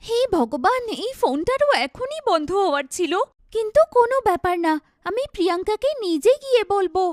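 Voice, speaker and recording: Woman playing a kind, high-voiced young cartoon sister. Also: animated, a little fast, no echo, clean studio sound. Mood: worried. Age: young adult. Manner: gentle, earnest, forgiving. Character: kind, patient, self-effacing young sister. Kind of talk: cartoon dialogue.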